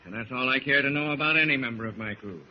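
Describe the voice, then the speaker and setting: An actor playing a stern, stubborn sailing ship captain with a blustery, dismissive manner in 1940s radio style. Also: male voice, medium pitch, fast, lightly acted. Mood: defensive, indignant. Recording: muffled, noisy old radio recording.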